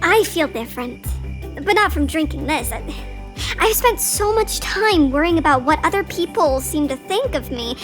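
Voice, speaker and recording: A woman's voice: sits high, animated, moderate pace, faint music behind. A woman voicing an anxious, earnest young male flying squirrel in a children's audio drama. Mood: relieved, reflective.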